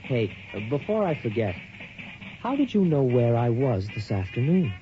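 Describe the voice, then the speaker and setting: A man's voice: medium pitch, sing-song, moderate pace, faint music behind, lightly acted. An actor playing a nervous, earnest young man who stammers and hesitates, in a radio drama. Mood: awkward eager. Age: young adult. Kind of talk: 1980s radio drama acting. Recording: dull older radio recording.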